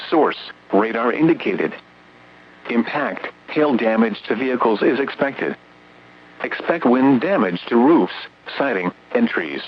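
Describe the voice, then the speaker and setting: A synthetic man's voice reading a formal, measured, scripted emergency weather bulletin as an automated announcer over weather radio. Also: medium pitch. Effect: weather radio broadcast. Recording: muffled weather radio, hum and static.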